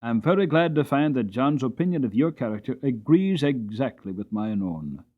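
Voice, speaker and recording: A man voicing a grave but kindly Victorian master of the house in an audiobook, measured, formal and genial. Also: medium pitch, big pitch swings, narrow, tinny audio. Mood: kindly, businesslike. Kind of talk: dramatic audiobook character reading.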